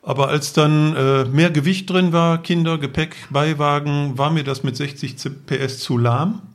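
A man's voice: a little high, fairly even intonation, moderate pace. A middle-aged man telling detailed anecdotes informally as a guest on a podcast, in a matter-of-fact way. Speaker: podcast guest, motorcycle traveller.

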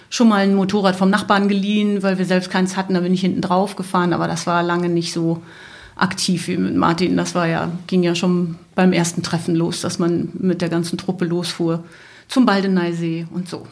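A woman's voice: lowish voice, flat delivery, a little fast. A woman, chatting casually as a podcast guest in a living room. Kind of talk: casual chat.

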